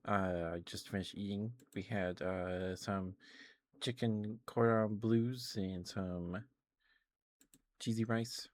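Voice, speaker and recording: A soft-spoken man hosting a casual ASMR livestream, talking to viewers in an unhurried, affectionate way. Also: on the low side, fairly even intonation, dry recording. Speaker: streamer, host of the show.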